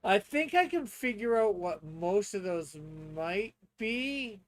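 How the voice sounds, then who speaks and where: Higher pitched guy learning a tabletop game, casual, thinking out loud, super dry sound. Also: very animated, takes it slowly, dry recording. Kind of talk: casual chat, thinking aloud.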